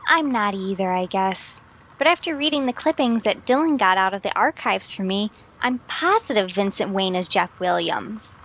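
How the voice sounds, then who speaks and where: A woman's voice: medium pitch, animated, normal speed, a bit bright. A woman acting as an inquisitive, persistent wife and amateur sleuth in a radio mystery. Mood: determined. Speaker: actor.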